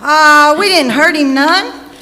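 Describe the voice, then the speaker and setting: A woman's voice: sits high, takes it slowly, bright, forceful tone, heavily acted. A woman playing a cheeky, irreverent young twin with a brash, slangy delivery in an old-time radio stage play. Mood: defensive. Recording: echoey hall, faint noise.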